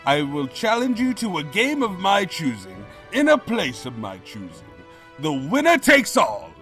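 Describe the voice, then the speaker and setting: Evil pony king, high-pitched male voice, slow grandiose delivery, swooping intonation, audio drama villain. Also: huge pitch swings, fairly bright tone, faint music behind, full character voice. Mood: grandiose, challenging. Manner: pompous, theatrical, grandiose. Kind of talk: dramatized fan fiction audio reading.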